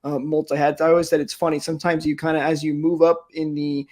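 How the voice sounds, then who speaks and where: A man's voice: on the high side, little pitch movement, fast talker, darkish tone. A young man co-hosting a podcast, agreeable and chatty as he interviews in a conversational way. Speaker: podcast co-host, minor league baseball broadcaster.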